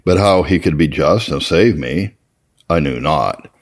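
A man reading an old religious memoir aloud as scripted narration, in a measured, formal and solemn manner. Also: rumbling low, expressive. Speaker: audiobook-style reader of historical religious readings.

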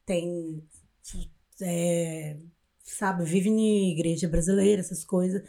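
A woman's voice: on the low side, fairly even intonation, takes it slowly, gravelly, mellow. A woman from in Brazil from that state, co-hosting a podcast and telling anecdotes in casual, slangy talk. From Brazil, Minas Gerais. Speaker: podcast co-host.

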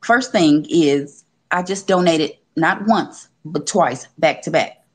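Thirties woman, hoarse and husky, lowish voice, chatty. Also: takes it slowly. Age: 30s. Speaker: speaker in an online audio room.

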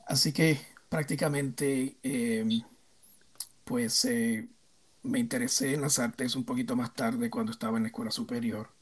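Un hombre de unos cincuenta años con acento puertorriqueño, invitado en una entrevista, que habla de forma reflexiva y pausada, con muchas muletillas. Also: male voice, mid-range voice, slightly rough. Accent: Puerto Rican. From Puerto Rico. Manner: reflective, hesitant, many fillers. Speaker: guest, theme park designer. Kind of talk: casual interview answers.

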